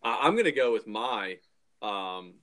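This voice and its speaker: A man in his thirties with a slightly low voice, hosting a relaxed podcast chat. He talks informally and with emphasis, giving his opinions like he is among friends. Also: measured pace. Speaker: podcast host. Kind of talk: casual chat.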